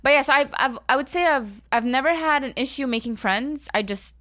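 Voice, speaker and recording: A woman's voice: high-pitched, fairly quick, a bit bright, dry recording. A young woman co-hosting a podcast in casual chat, talkative and teasing, laughing often. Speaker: podcast co-host.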